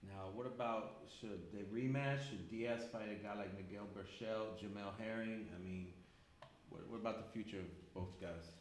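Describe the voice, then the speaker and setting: A man's voice: lowish voice, moderate pace. A man hosting a casual boxing talk show, asking guests questions in an easygoing way with lots of filler words. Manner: laid-back, asks questions with filler words. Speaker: podcast host. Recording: roomy sound with faint background noise.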